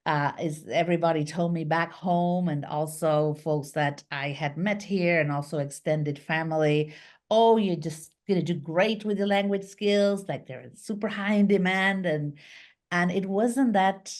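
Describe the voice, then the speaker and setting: A middle-aged woman from Argentina, a business founder, talking as a guest on a video call interview with lively hand gestures and many fillers. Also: fairly low pitch, measured pace. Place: small home office, indoors. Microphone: video call webcam.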